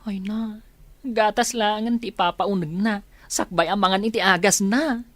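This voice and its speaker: An actress playing an earnest, caring friend in a radio drama, expressive and pleading. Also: female voice, mid-range voice, moderate pace, dry recording, lightly acted. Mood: concerned.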